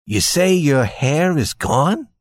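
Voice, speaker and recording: A man voicing a gentle young husband in a storybook tale, speaking tenderly and earnestly. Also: a little high, lively intonation, slow pace, no echo, clean studio sound, voice pushed up. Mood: dazed.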